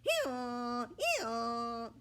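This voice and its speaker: A woman voicing a mocking, smart-aleck male donkey with a loud hee-haw in a children's storybook. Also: a little high, wildly swooping pitch, extremely slow, heavy background noise, voice pushed up, exaggerated voice. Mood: mocking, amused. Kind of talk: children's storybook read-aloud.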